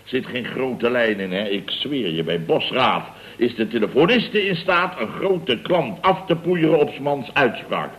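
A man's voice: mid-range voice, expressive, measured pace, fairly bright tone, a little hiss or hum. An actor playing a self-satisfied, blustering male boss of a building firm, bossy and pompous in clipped repeated phrases, in a radio comedy serial. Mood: smug, boastful.

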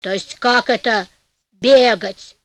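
Woman doing grumpy huge innkeeper guy in a fairytale, stubborn, blustery, kinda high voice, drawn out words. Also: slow pace, a bit bright, no echo. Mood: angry, indignant. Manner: indignant, blustering, emphatic.

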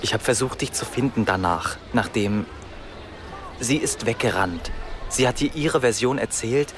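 An actor playing a remorseful, self-pitying young man, halting and defensive. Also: medium pitch, noisy background, lightly acted. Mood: brooding.

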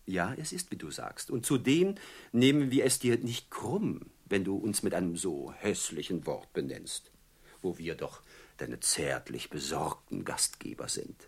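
An actor playing a mocking, falsely courteous bandit chief in a German radio play, speaking with theatrical, oily politeness. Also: male voice, low voice, animated, measured pace, slightly rough, heavily acted. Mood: falsely courteous, gloating.

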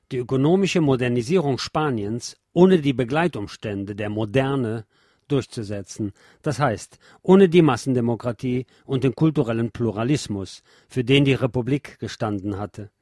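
A man reading scripted documentary narration in a measured, solemn, literary manner. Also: medium pitch, a little mellow, no room sound, studio quality.

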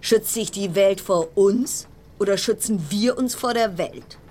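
A woman's voice: medium pitch, measured pace, a little mellow, lightly acted. An actress playing an uneasy, wry woman confined aboard a ship, musing in fragments in a radio play monologue.

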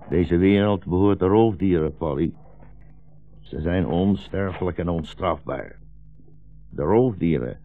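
An actor playing a weary, gentle old blind rabbi in a 1960s radio drama, speaking slowly and solemnly as a philosophical storyteller. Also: male voice, sits low, vocal fry. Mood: grave. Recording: dull, roomy 1960s radio recording.

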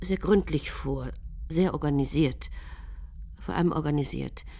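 An actress playing a halting woman who searches her memory and repeats herself in a radio drama monologue, with a breathy voice. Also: low-pitched, dark tone, slight background noise. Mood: halting, insistent. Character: halting woman recalling experiments on children.

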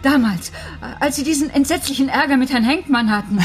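An actress playing a frightened, pleading female teacher in her forties, halting and distressed, in a radio crime play. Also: high-pitched, natural voice. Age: 40s. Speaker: actor in a radio crime drama. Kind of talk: German radio play acting. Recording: music clearly behind the voice.

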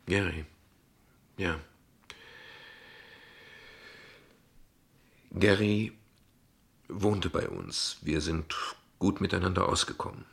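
An actor playing a guarded, ironic father in a radio play, speaking in measured, eloquent, formal sentences. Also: deep voice, creaky, slightly rough, heavily acted. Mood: wry, guarded. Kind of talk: radio play dialogue acting.

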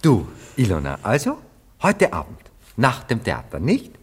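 A man acting an evasive, flustered philandering gentleman in a German radio comedy, placating and dryly ironic. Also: mid-range voice, wildly swooping pitch, unhurried, husky, exaggerated voice. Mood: impatient, placating.

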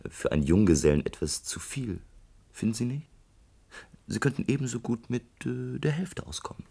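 A man's voice: mid-range voice, sing-song, moderate pace, creaky, mellow, husky, heavily acted. A man playing a brazen young student blackmailer in a German radio play, sardonic, taunting and smug. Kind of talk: German radio drama acting.

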